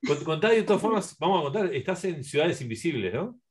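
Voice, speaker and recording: A man's voice: on the high side, very animated, fast. A man with a Uruguayan accent hosting a casual radio interview, rambling his way around his questions.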